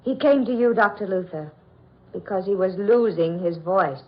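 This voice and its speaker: An actress playing a cool, taunting captive heroine with deliberate, dramatic 1940s radio drama delivery. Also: female voice, medium pitch, lively intonation, natural voice. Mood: cool, pointed. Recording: muffled old radio recording.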